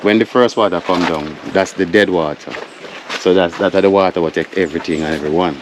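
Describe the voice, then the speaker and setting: A man's voice: sits low, fairly quick. A Jamaican man being interviewed, talking casually and often repeating his affirmations. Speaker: local man interviewed about a river search. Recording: noisy outdoor ambience.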